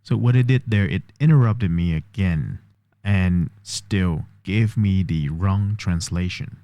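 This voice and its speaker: A man with a fairly low voice talks through a tech demo, explaining each step and thinking aloud as he goes. The sound is dry and close, with no room around it. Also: slow, dark tone, no room sound, dull audio. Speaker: vlogger testing an AI voice assistant. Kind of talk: casual tech demo commentary.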